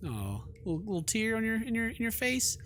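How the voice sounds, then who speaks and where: A man's voice: high voice, huge pitch swings, brisk, a bit bright, a little hiss or hum. A man doing let's play narration of a horror visual novel, with playful slang and joking asides.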